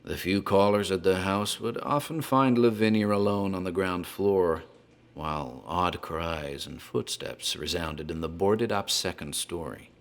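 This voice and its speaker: A man playing a talkative rural tavern landlord who recounts a local horror tale in a measured, ominous manner. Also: low voice. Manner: measured, ominous, literary phrasing. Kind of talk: dramatic horror storytelling. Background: music.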